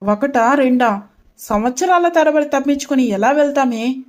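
A woman's voice: high-pitched, moderate pace, a little mellow, natural voice. A woman voicing an indignant, anxious young college girl in a dramatized story. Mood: exasperated.

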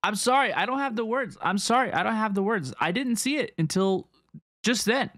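A woman's voice: mid-range voice, lively intonation, a little fast, fairly bright tone. A woman giving casual, informal reaction commentary, hesitating and repeating herself as she goes. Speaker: streamer reacting to game trailers.

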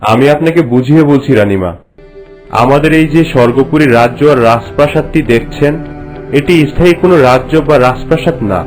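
A man's voice: mid-range voice, a little fast, darkish tone, natural voice. Formal man as a royal courtier, music behind. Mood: respectful, solemn. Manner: formal, respectful, explanatory. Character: formal, reverent male royal courtier explaining to his queen. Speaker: storytelling courtier. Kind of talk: scripted cartoon dialogue in formal narration. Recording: music bed under the voice.

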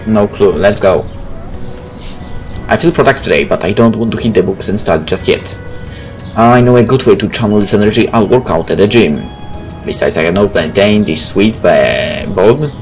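A man's voice: lowish voice, expressive, moderate pace. A man doing a let's play, reading visual novel text aloud and adding casual comments. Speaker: gaming video creator. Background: game music.

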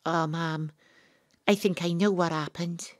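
Excitable young Welsh female sleuth played by a woman, audio drama, breathless suspense, close dry studio sound. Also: lowish voice, measured pace, no echo, lightly acted. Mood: agitated, earnest. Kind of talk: audio drama narration and dialogue.

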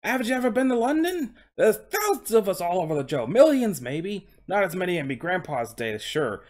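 A talking coach horse with a broad Cockney accent. The voice sits high and leaps about in pitch. He is proud and aggrieved, full of polite, boastful working-class London patter. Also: big pitch swings, a little fast, darkish tone. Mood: incredulous, boastful. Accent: put-on Cockney.

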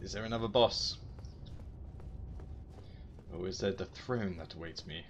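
A man giving casual, excitable gameplay commentary, talking to himself as he plays. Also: low-pitched, measured pace, dull audio, slight background noise. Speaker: gaming video host. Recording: loud music behind the voice.

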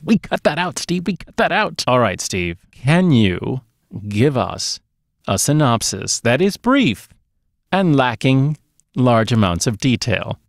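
A man's voice: medium pitch, sing-song, slow pace, no echo. A middle-aged man hosting a podcast in casual movie chat, close to a microphone in a small studio. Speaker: podcast host. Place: small dark home studio, indoors. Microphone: close podcast microphone on boom arm.

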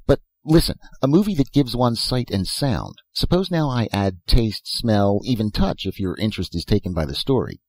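A man's voice: lowish voice, very animated, moderate pace, few pauses, a little mellow, dry recording, voice pushed up. A man voicing an eccentric, philosophizing old professor who talks in short, insistent phrases and rhetorical questions in a storybook reading. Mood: eager. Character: elfin bearded old male professor, eccentric, philosophical inventor.

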